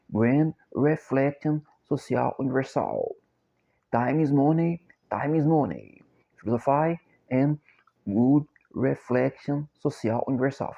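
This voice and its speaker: A middle-aged Brazilian man with a Portuguese accent, speaking English slowly and haltingly in a repetitive, chant-like monologue. He repeats short phrases over and over like a mantra. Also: mid-range voice, vocal fry, mellow, slightly rough. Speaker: self-described copywriter and philosopher. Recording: dull lo-fi recording.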